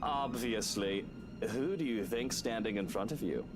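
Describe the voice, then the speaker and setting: A man's voice: fairly low pitch, a little slow, close to an everyday voice. An actor playing an arrogant, irritable but grudgingly caring man in a video game. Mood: smug teasing. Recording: game dialogue over loud music.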